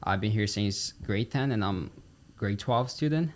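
A man's voice: lowish voice, measured pace, slight background noise. A young man with a Brazilian accent, chatting casually as a student guest on a podcast. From São Paulo, Brazil. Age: teens or young adult. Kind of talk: casual interview chat.

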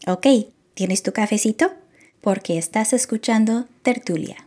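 A woman hosting a conversational podcast, introducing an episode and inviting her guests to speak in a friendly, relaxed way. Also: mid-range voice, measured pace. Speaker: podcast host.

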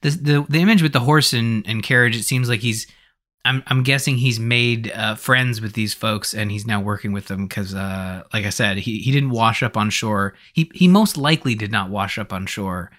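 A man's voice: lowish voice, normal speed, a bit bright. A man hosting a podcast in a casual, conversational way, thinking aloud as he goes. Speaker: podcast host.

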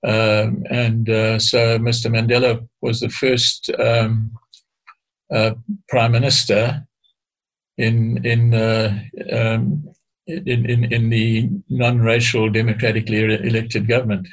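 An older man speaks in a gravelly, creaky voice that stays flat and level in pitch. He explains things in a measured, hesitant way, often pausing and starting phrases again, as a guest being interviewed. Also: poor audio. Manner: hesitant, measured, frequent restarts. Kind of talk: explaining history in conversation.

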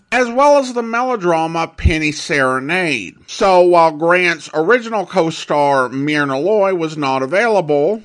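Slow-paced man reviewing old radio. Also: high-pitched, fairly bright tone. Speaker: host of an old-time radio podcast. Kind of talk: reflective solo commentary.

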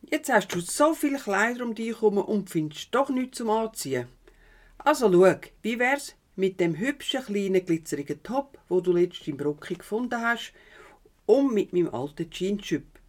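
A woman's voice: mid-range voice, expressive, normal speed, close to an everyday voice. A woman with a Swiss German accent playing an easygoing, supportive mother of a teenager in a youth radio play. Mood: reassuring. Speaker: actor in an audio drama.

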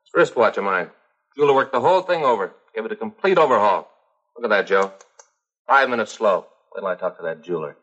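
An actor playing a grumbling, easygoing police detective in a 1950s TV crime drama, with folksy, chatty delivery. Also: male voice, on the low side, expressive, quick pace, a bit bright, breathy edge. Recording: dull vintage TV soundtrack.

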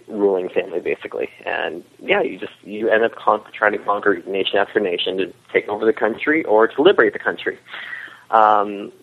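A man chatting casually as an interview guest, explaining his creative work. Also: deep voice. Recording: tinny, muffled phone-like call audio.

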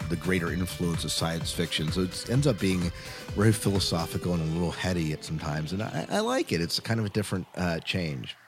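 A man's voice: low-pitched, very animated, a little fast, over music. A man hosting a podcast in a casual, chatty style. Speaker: podcast host. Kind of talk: casual chat.

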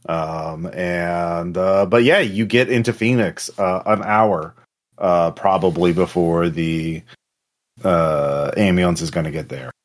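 A man running a tabletop game as game master, narrating casually and off the cuff in a halting way with drawn-out pauses. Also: low voice.

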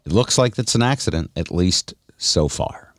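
A low-pitched man hosts casual radio talk with lively swings in pitch. He banters in a wry, deadpan way, and the sound is dry and close-miked. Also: moderate pace, no echo. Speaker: radio show host.

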